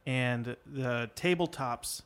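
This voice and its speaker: Brief: man, mid-pitched co-host, crude banter, slight trouble with R sounds. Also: slow pace, fairly bright tone, clear, resonant tone. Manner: crude, joking, says he struggles with his R sounds. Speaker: podcast co-host. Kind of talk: casual comedic chat.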